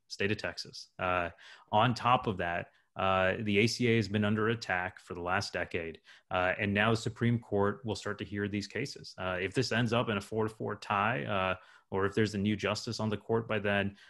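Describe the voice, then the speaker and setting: A man's voice: on the low side, fairly bright tone. A young man, a physician, speaking earnestly and urgently on a video panel with many filler uhs. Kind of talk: panel discussion. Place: home room with bookshelves. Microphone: video call.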